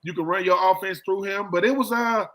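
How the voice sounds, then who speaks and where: A man's voice: sits high, fairly quick, fairly bright tone, low-quality recording. A middle-aged man, a former football player, talking sports in a casual, colloquial way, repeating himself and acting out quoted exchanges. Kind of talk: casual, animated sports storytelling.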